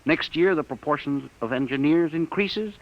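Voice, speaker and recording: An actor playing an eager, anxious, deferential junior investigator with a hesitant, earnest manner in a 1950s radio drama. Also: male voice, a little high, normal speed, natural voice. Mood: earnest, excited. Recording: muffled old radio recording.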